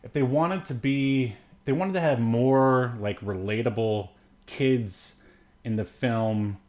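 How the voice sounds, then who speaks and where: A man's voice: medium pitch, slow, a little mellow. A man co-hosting a podcast, chatting casually about films in a rambling, joking way. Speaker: podcast co-host. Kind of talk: casual movie review chat.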